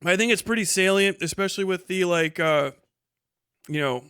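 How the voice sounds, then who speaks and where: Guy streamer, kinda high clear voice, swears a lot, sardonic, reads chat, really dry sound. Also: moderate pace, bright, forceful tone, no echo. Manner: profane, sardonic. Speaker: livestream host. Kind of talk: casual livestream chat, reading viewer comments.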